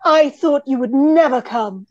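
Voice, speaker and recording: A woman's voice: high-pitched, measured pace. A woman playing a fretful, romantic, melodramatic heroine with theatrical delivery in an old-style radio play. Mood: adoring. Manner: theatrical, fluttery. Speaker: actor. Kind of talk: 1930s radio acting. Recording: dull lo-fi audio.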